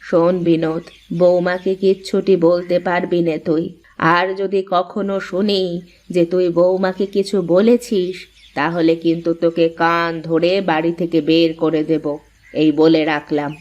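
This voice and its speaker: A woman's voice: fairly low pitch, level tone, unhurried, a little mellow, dull audio, natural voice. A woman as a loving, firm cartoon mother-in-law. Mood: scolding. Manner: affectionate, firm, motherly scolding. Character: loving, protective middle-aged mother-in-law, gently bossy. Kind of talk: cartoon voice acting.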